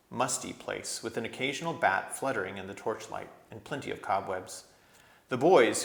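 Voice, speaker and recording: A man reading a children's book aloud as an animated storyteller. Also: on the low side, vocal fry. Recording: echoey room.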